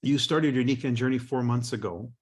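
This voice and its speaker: A man's voice: mid-range voice, brisk, darkish tone, husky. A man hosting a team call over a remote line, explaining ranks and encouraging new members with frequent restarts. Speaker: host of a sales team call.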